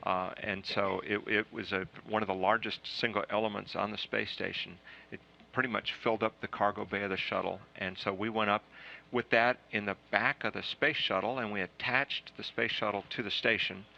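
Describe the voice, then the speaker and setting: A lower-pitched man with a gravelly, bright-edged voice explains things casually, tripping over restarts and fillers. The sound is muffled and lo-fi, like an old broadcast feed. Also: steady tone, a little hiss or hum. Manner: halting, lots of uh and repeated starts. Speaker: astronaut answering questions.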